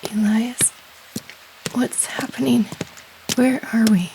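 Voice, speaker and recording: Breathy, creaky, slow-speaking rescued woman, acted. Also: a little high, fairly even intonation, noisy background. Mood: dazed, frightened. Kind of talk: sci-fi audio drama acting. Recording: music bed under the voice. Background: dramatic music.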